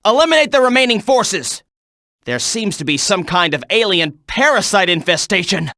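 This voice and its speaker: A video game soldier in the thick of battle. The delivery is clipped and urgent, with a sharp, forceful edge and animated swings in pitch. It sounds close and dry. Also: male voice, moderate pace, no room sound, full character voice. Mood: urgent, commanding. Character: tense military soldier barking combat reports and orders.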